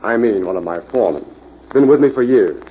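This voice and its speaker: An actor playing a self-assured, cooperative logging company owner with an air of authority in an old-time radio drama. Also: male voice, on the low side, expressive, a little fast, natural voice. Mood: matter-of-fact. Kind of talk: 1940s radio acting. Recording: muffled, faintly hissy old radio recording.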